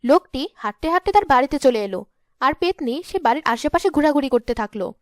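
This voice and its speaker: A woman's voice: sits high, animated, fast, dry recording, studio quality. A woman narrating a scripted cartoon story as the storyteller.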